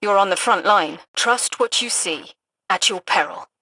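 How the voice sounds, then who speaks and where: A woman playing an earnest, resolute soldier in a video game, speaking in a brisk, direct and urgent way. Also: medium pitch, bright, forceful tone, no echo, poor audio. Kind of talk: dramatic video game monologue.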